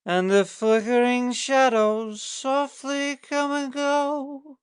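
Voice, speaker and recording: A man's voice: very high-pitched, flat delivery, takes it slowly, clear, resonant tone, no echo, clean studio sound, voice pushed up. A man voicing a tiny, tipsy old farmer with a reedy voice and a Yorkshire accent, in an audiobook. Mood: raucous singing.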